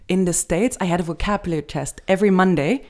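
A young woman from Germany, whose first language is German, talks in a fairly low voice. She explains things conversationally, stepping through examples as she goes. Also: fast, a little mellow. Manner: explanatory, gives many examples.

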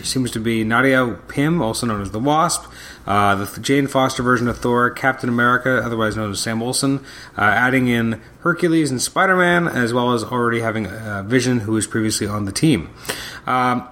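A man's voice: medium pitch, a bit bright. A man hosting his own podcast, talking casually and hesitantly while fighting a cold and losing his voice. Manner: casual, hesitant with many ums. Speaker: podcast host. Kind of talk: solo comic review podcast.

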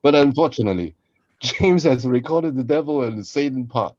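A man co-hosting a casual chat in a halting manner, often repeating his phrases. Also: mid-range voice, expressive, a little mellow, dry recording. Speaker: podcast host, voice performer. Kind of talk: casual behind-the-scenes chat. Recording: slightly dull, lo-fi sound.

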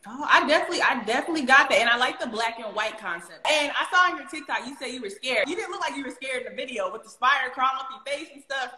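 Upbeat woman host with a high voice that praises her guest, recorded in an echoey room. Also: expressive, fast, few pauses, bright, forceful tone. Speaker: interview host. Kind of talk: casual interview chat.

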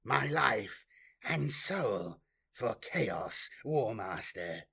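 A man's voice: mid-range voice, very animated, drawn-out words, gravelly, sharp tone, husky, exaggerated voice. A man voicing a scheming male sorcerer who fawns obsequiously before his master. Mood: fawning. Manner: obsequious, careful.

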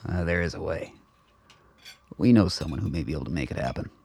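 Man playing gravelly older father, calm. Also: extremely low pitch, full character voice. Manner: calm, measured. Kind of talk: audio drama acting.

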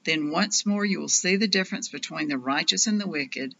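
A woman giving a solemn, scripted scripture reading in a measured, declarative manner. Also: low-pitched, gravelly, fairly bright tone. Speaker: scripture reader. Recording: dull lo-fi audio, faint noise.

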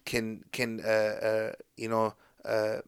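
A man raised in the United States, a podcast guest telling stories in a relaxed, casual way, full of fillers and restarts. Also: on the low side, slow, gravelly, clear, resonant tone, no room sound, studio quality. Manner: laid-back storytelling with lots of fillers and restarts.

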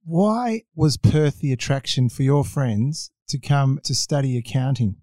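A man's voice: medium pitch, animated, measured pace, dark tone, dry recording. A man hosting a podcast interview, asking questions and giving short, encouraging affirmations. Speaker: podcast host.

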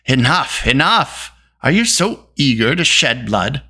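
Reader playing a storybook peacemaker man, calm, grave, mid-pitched, measured, gentle. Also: breathy edge, heavily acted.

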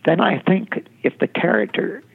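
A man, a novelist, talking reflectively about his writing in an interview, halting often with fillers and restarts. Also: high voice, expressive, creaky, a little hiss or hum. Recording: tinny phone-line sound.

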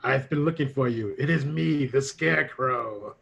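A man improvising a mysterious, self-serious stranger who talks slowly and portentously in a comedy skit. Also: mid-range voice, gravelly, fairly bright tone, lo-fi sound, a little hiss or hum. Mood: solemn.

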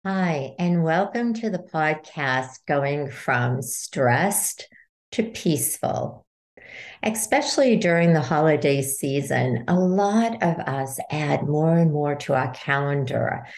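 A woman leading a guided meditation as a podcast host, giving soothing, unhurried instructions. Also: sits low, animated, darkish tone.

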